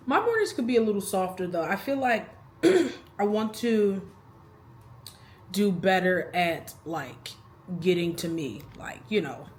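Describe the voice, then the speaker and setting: A woman's voice: fairly low pitch, slight background noise. A woman in her thirties talking casually to the camera in a rambling, chatty vlog, laughing between thoughts. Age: 30s. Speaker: vlogger.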